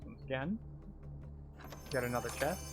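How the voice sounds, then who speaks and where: A man's voice: medium pitch, expressive, moderate pace, loud music behind the voice. A man giving live gameplay commentary as a tour guide, explaining things step by step. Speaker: game streamer and tour guide.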